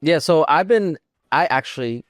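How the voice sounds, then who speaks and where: A man's voice: on the high side, animated, moderate pace, no room sound, clean studio sound. A man co-hosting a podcast, chatting informally about video games in a self-deprecating way. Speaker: podcast co-host.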